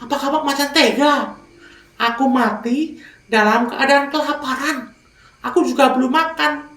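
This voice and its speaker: A man's voice: extremely high pitch, moderate pace, pushed and bright, echoey room, far from the mic, voice pushed up. A man voicing a small, clever mouse deer in a children's fable, wheedling and childlike. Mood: pleading.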